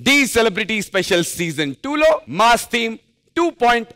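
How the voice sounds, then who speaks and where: A man with a high-pitched voice hosts a stage show. He presents with energy and draws out his announcements, with clear music behind him. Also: expressive, a little fast, a bit bright. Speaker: TV show host.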